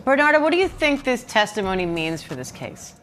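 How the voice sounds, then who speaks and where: A woman's voice: fairly high pitch, big pitch swings, fairly quick, fairly bright tone, faint music behind. A woman news anchor putting questions to guests. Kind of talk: interview questions.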